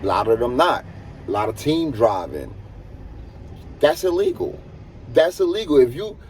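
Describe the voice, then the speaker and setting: Husky-voiced New man, earnest and emphatic. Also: mid-range voice, a little mellow. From United States. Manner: earnest, emphatic, repeats points for stress. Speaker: truck driver and vlogger. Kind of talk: casual advisory monologue.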